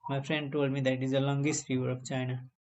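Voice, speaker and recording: Young guy chatting on a video call, simple choppy english, gets loud and excited. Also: medium pitch, quick pace, lo-fi sound. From Nepal. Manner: chatty, simple broken English, emphatic bursts. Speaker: channel host. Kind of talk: casual video chat.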